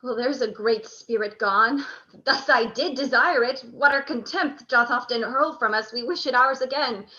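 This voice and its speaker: A woman as Antony, bright forceful grave commander. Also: fairly high pitch, muffled recording. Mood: regretful, resolute. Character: Antony, grown male commander torn between love and duty. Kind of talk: theatrical Shakespearean radio play acting.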